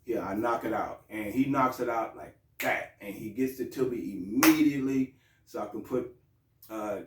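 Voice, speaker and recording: A man's voice: medium pitch, normal speed, a bit bright, roomy sound, far from the mic, slight background noise. A man hosting his own show in a loose, joking, profane way, with frequent restarts. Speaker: host. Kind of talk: casual show talk.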